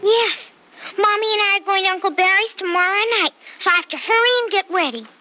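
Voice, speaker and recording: A woman's voice: extremely high pitch, fast, sharp tone. A woman playing an earnest, insistent little girl with a childlike, pleading manner in an old-time radio serial. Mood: eager, insistent.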